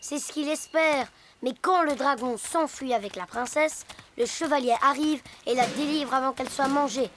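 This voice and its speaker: A woman voicing a kind young male cartoon hippo who is gentle and thoughtful, explaining things patiently and turning gallant when he plays a knight. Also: high voice, moderate pace, a little hiss or hum. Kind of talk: animated series voice acting. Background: background music.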